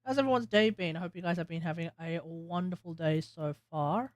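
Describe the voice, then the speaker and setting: A man's voice: a little high, a little fast, no echo, clean studio sound. An Australian man chatting casually as he hosts a live game stream. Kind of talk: casual livestream chat.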